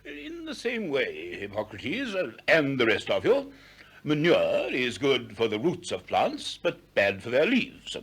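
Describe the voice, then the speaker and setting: An actor with a British accent playing a proud, pompous, easily ruffled Greek sophist in a 1960s radio play, declaiming testily. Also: male voice, medium pitch, huge pitch swings, measured pace, fairly bright tone, slightly rough, full character voice.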